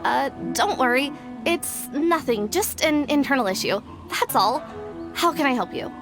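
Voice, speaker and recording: A breezy young editor. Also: female voice, high-pitched, lively intonation, a little slow. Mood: brisk. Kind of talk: video game voice acting. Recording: game audio with music behind.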